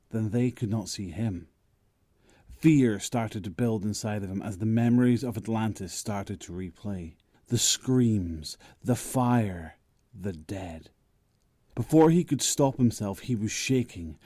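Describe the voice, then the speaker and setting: A man narrates a dramatic scripted story. His voice is fairly low and soft in tone, recorded dry with no room sound. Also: lively intonation, slow, no room sound.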